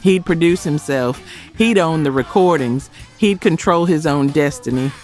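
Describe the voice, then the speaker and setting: A man's voice: fairly high pitch, moderate pace, over loud music. A man giving scripted documentary narration in short, emphatic, dramatic phrases. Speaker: narrator of a music history video.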